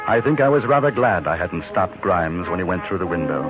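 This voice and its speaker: A deep male voice plays a composed gentleman adventurer of the frontier. He is measured, dry and restrained, in 1950s radio drama style. The old broadcast sound is narrow, with music behind. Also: animated. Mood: somber, reflective. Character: calm, composed gentleman adventurer narrating his frontier tale. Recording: band-limited 1950s radio recording with music.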